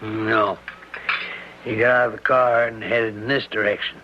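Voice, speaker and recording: An actor playing a folksy, plain-spoken small-town sheriff who quietly probes, in a radio mystery drama. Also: male voice, on the low side, little pitch movement, lightly acted. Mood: casually probing. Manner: plain-spoken, rural phrasing, deliberate. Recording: dull lo-fi radio recording with hiss.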